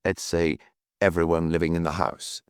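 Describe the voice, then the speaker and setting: A man voicing a detective chief inspector in an audiobook, quiet, measured and persistent in his questioning. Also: deep voice, flat delivery, clean tone, no echo, clean studio sound, voice pushed down, lightly acted. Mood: quietly insistent. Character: calm, probing male detective chief inspector.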